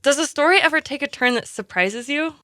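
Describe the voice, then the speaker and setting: A woman's voice: sits high, animated, brisk, sharp tone, dry recording, clean studio sound. A woman hosting a podcast, interviewing a guest in a conversational style.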